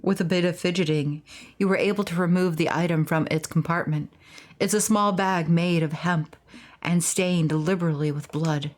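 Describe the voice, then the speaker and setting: A woman's voice: lowish voice, fairly even intonation, moderate pace. A woman game streamer reading game text aloud and commenting as she plays.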